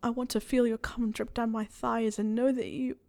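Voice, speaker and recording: A woman voicing a shy, yearning young male wizard in an audiobook, breathy and hesitant in manner. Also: a little high, level tone, moderate pace, darkish tone. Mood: aroused, pleading.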